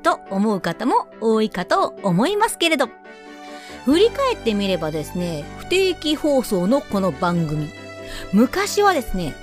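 Lively high-voiced woman radio host. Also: a little slow, soft background music. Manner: polite speech with playful exclamations. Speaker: host and scriptwriter of a radio drama show. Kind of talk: chatty radio show introduction.

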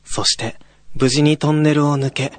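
A man's voice: on the high side, steady tone, normal speed, noisy background, lightly acted. A man playing a young male college student who tells a ghost story in a radio drama. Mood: somber, reflective. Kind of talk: radio drama narration with acted dialogue.

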